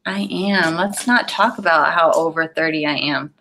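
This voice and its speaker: Woman in her early thirties, bright voice, relaxed chatty storyteller, home video call. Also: low voice, moderate pace, slight background noise. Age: 30s. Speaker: podcast co-host. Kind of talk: casual chat. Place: home room with curtains. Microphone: video call webcam.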